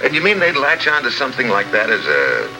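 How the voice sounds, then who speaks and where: An actor playing a laconic American government agent in 1950s radio drama, with a dry, hard-boiled delivery. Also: male voice, medium pitch, huge pitch swings, a little fast, low-quality recording. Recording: vintage 1950s radio with music.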